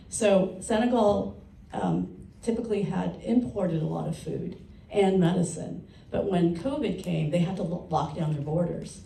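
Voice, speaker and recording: A woman's voice: mid-range voice, vocal fry, mellow. A woman speaking as an author on a panel, explaining things to an audience in an informal, chatty way. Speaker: panelist, children's book author. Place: panel session in a room. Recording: roomy, distant mic, faint noise.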